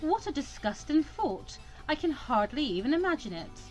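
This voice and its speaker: A woman voicing an indignant, sharp-tongued fourteen-year-old student girl in a visual novel, sarcastic and exclamatory. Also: sits high, big pitch swings, moderate pace, faint music behind, pitched above the performer's own voice, poor audio.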